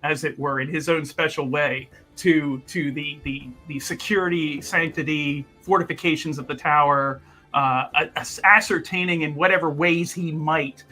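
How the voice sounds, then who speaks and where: Guy recapping his character in a tabletop game, kinda high bright voice, keeps restarting, faint guitar behind. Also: fairly even intonation. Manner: halting, searches for words, many restarts.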